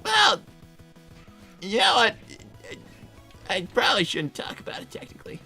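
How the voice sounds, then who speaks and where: A woman's voice: a little high, huge pitch swings, moderate pace, sharp tone, soft background music, heavily acted. A woman voicing a mild young male fox who is hesitant, stammering and conciliatory, in improvised livestream comedy. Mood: evasive.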